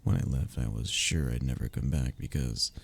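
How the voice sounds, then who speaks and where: A young man acting an affectionate, playful best friend in an intimate audio roleplay, soft-spoken and gently teasing, with a gravelly, husky voice. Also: very deep voice, normal speed, heavily acted. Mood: gentle, wistful. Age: young adult.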